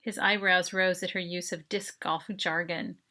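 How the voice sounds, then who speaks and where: A woman reading a first-person short story as its author, in an expressive, dramatic narration style. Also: fairly low pitch, unhurried.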